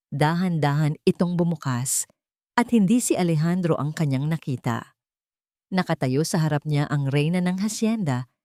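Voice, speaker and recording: Low-voiced woman narrating, dry recording. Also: a little mellow, no room sound. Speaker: storyteller, narrator. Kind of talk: scripted dramatic romance story narration.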